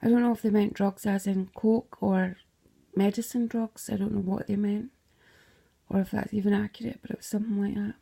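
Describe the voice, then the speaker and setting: A woman's voice: medium pitch, flat delivery, brisk, gravelly, mellow, husky. A Scottish woman casually retelling a dream, rambling, slipping into whispered asides and swearing freely.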